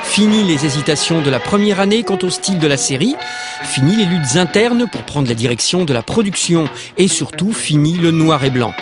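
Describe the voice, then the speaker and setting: Higher-pitched male narrator over music. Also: expressive, brisk. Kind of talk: scripted documentary narration.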